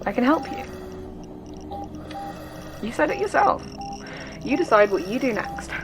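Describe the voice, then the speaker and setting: A woman's voice: a little high, very animated, measured pace, music in the background. A woman playing an earnest, uneasy armed subordinate in a sci-fi horror audio drama, direct and emphatic. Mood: urging.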